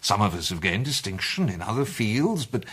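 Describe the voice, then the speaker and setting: Pompous english club chairman in a radio play, very lively pitch, bit husky, speechy and formal. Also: moderate pace, heavily acted. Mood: grandly proud. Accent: English, upper-middle-class. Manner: formal, oratorical, savouring his phrases. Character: pompous, self-satisfied male president of a gentlemanly detective club. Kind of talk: 1920s-set British radio play acting.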